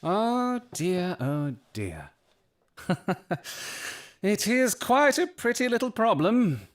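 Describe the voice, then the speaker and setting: A man playing a shrewd, urbane gentleman detective in an audio drama, speaking formally and precisely with dry, theatrical irony. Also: sits high, very animated, slow, full character voice. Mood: amused.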